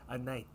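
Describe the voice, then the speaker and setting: Over clear background noise comes a man acting as a male knight captain in an audio roleplay. He takes his time, phrasing things in a courtly, old-fashioned way. His manner is that of a kindly mentor who gently teases his young trainees. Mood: relaxed, confiding. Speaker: amateur audio roleplay performer. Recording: clearly noisy home recording with ambience.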